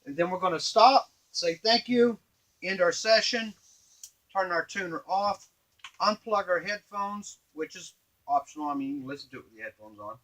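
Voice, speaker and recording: Middle-aged male demonstrator, higher-pitched voice, informal step-by-step workshop tutorial, slightly halting delivery. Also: expressive, a bit bright. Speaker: device maker and demonstrator. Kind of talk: informal hands-on product tutorial. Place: small indoor workshop at a workbench. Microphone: camera a few feet away.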